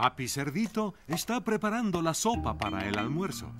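A man's voice: fairly high pitch, big pitch swings, moderate pace, loud music behind the voice. A man playing a friendly children's cartoon narrator, reading scripted storybook-style lines.

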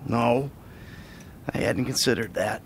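An actor playing a bitter, sarcastic middle-aged American ex-bank robber in a video game cutscene, profane and exasperated. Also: male voice, mid-range voice, slow pace, creaky, slight background noise. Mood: subdued, reflective. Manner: sardonic, profane, exasperated delivery.

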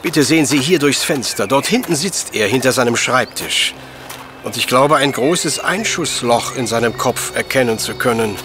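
Pedantischer, gebieterischer Professor von einem Mann gespielt. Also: male voice, medium pitch, lively intonation, normal speed, over music, natural voice. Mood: formal, dry. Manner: pedantic, precise, long formal sentences. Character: brilliant, pedantic male professor detective, coolly commanding. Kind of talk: German audio drama acting.

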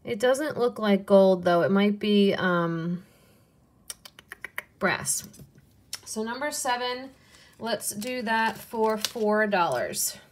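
Woman, young adult, unhurried, slow relaxed talk, soft muttered asides, casual haul video. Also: medium pitch. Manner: unhurried, softly muttering asides, cooing over pieces.

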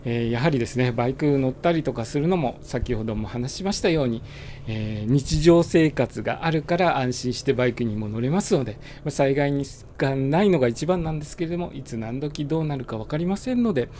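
Male motovlogger, calm chatty explainer, warm mellow tone, light wind hiss under the voice. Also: mid-range voice, fairly quick. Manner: relaxed, filler-heavy, explanatory. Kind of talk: casual riding monologue. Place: riding a motorbike on suburban roads.

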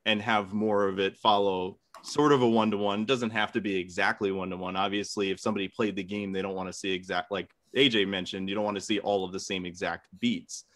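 A man with a low voice argues his point on a podcast. He keeps it casual and conversational, like an informal debate among friends. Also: flat delivery, a little fast, few pauses, a bit bright.

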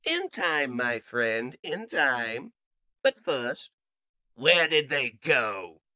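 A man's voice: medium pitch, sing-song, very slow, pushed and bright, voice pushed up, exaggerated voice. A man voicing a treacherous male robot jet commander with a gloating, screeching manner. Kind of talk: fan story narration with voices.

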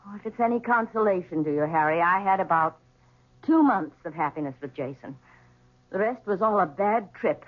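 A woman's voice: lowish voice, expressive, moderate pace. A woman playing an earnest, regretful widow in a 1970s radio drama. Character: earnest, regretful widow visiting her ex-husband. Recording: tinny 1970s radio broadcast.

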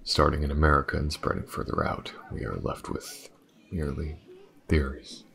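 A man playing a weary, driven detective in an audio roleplay, with a hesitant, muttering delivery and a gravelly voice. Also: steady tone, moderate pace, fairly bright tone, full character voice. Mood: measured, reading aloud. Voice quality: very deep, gravelly. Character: weary, driven male detective investigating a dark mystery. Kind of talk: intimate audio roleplay acting.

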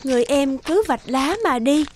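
A woman's voice: high voice, normal speed, slight background noise. A woman narrator telling a fairy tale in scripted storytelling style.

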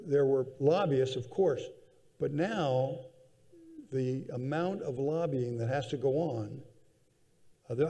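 Older male panel guest, gravelly and measured, echoing hall. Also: mid-range voice, mellow. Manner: measured, thoughtful, with frequent restarts. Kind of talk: conference panel discussion. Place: large conference hall stage, seated in armchair. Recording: reverberant convention hall.

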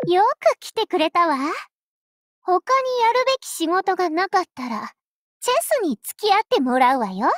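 A woman's voice: extremely high pitch, big pitch swings, normal speed, dry recording, clean studio sound. A woman voicing a haughty, girlish young fleet leader in an anime game, pompous and commanding but easily flustered. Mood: bossy. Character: haughty young warship girl, self-styled charismatic fleet leader. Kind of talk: Japanese anime game character voice lines.

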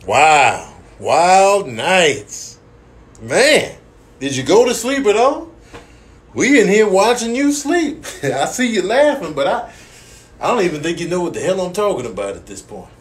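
A man playing an exasperated, teasing economics lecturer in a comic sketch, pompous and halting with drawn-out words. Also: sits high, very animated. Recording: echoey room, faint noise.

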